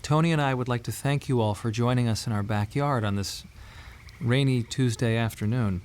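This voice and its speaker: An actor playing a young husband and bereaved father in a radio drama monologue, speaking in a halting, emotionally raw way. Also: mid-range voice, a little mellow, a little hiss or hum, close to an everyday voice. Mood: solemn, mourning.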